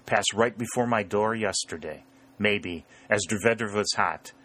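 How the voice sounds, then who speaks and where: A man's voice: lowish voice, a bit bright. Man doing a middle-aged farmer, broken English, sly and stubborn, mock-mournful, storybook character. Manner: broken English with foreign phrasing. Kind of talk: audiobook character voice.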